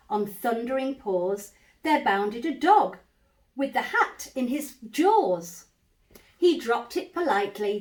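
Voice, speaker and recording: A woman reading a bedtime story aloud to young children in an animated, measured, dramatic way. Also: medium pitch. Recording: roomy echo, distant microphone.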